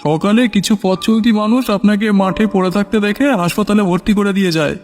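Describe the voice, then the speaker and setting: A man's voice: high voice, fast, darkish tone, big-room sound, faint music behind. A man playing a concerned hospital carer speaking to a patient in a cartoon story. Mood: concerned, explaining.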